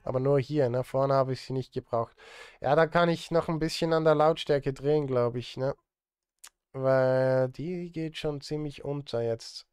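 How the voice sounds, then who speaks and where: A man's voice: medium pitch, fairly even intonation, unhurried, a little mellow, clear, resonant tone, no room sound, clean studio sound. A man in his thirties chatting casually on a live stream while he works, rambling and muttering to himself in a self-deprecating way. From Switzerland. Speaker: live streamer and film composer. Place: indoor desk at a streaming setup.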